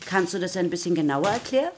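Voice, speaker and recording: A woman playing a patient, encouraging primary school teacher in a German children's radio play, with a husky voice and a questioning, instructive way of addressing her class. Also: fairly low pitch, lively intonation, fast talker, slight background noise, close to an everyday voice. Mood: inquiring, instructive.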